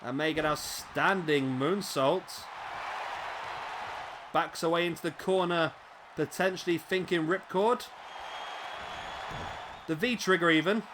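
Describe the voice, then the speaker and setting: A man with a slightly raspy voice, commentating a wrestling video game play-by-play, excitable at big moves and chatty in asides. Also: a little high, takes it slowly, long pauses, a crowd in the background, noisy background.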